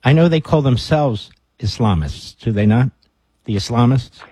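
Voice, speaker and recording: A man's voice: medium pitch, animated, moderate pace, warm tone, slightly rough, dry recording. A man hosting a radio interview who asks probing questions, often restarting his sentences. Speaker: radio host.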